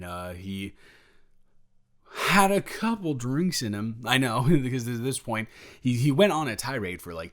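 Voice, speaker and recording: Man playing a sheepish best friend guy, deep-ish voice, slow rambly confession, awkward and protective, audio roleplay. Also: a bit bright. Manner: halting, rambling, awkwardly candid. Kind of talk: improvised audio roleplay, intimate conversational monologue.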